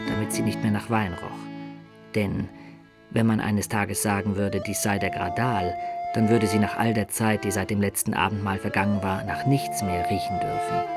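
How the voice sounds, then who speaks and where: An actor playing a middle-aged adventurer who recounts his life in measured, literary radio drama narration. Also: on the low side, lightly acted.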